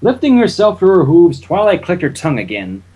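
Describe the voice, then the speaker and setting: A story reader with a husky, mid-pitched voice. The narration is lively and varied, loosening into casual chat with friends between passages. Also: brisk, dull audio. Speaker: fan fiction reader.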